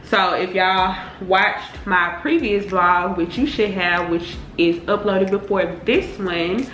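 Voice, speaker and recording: A woman's voice: mid-range voice, takes it slowly, a bit bright, roomy sound, slight background noise, rough recording. A young woman chatting casually to her viewers in informal slang, sounding congested from a cold. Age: young adult. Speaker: beauty vlogger. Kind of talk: casual chatty get-ready-with-me talk.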